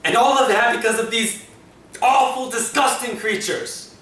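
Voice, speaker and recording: An agonized, centuries-old war survivor, acted by a man speaks in dramatic broken phrases. The voice is high-pitched. This fan-film monologue sounds very echoey and distant from the microphone. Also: a bit bright. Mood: anguished. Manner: anguished, pausing dramatically between short phrases. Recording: echoey room, far from mic, faint ambience.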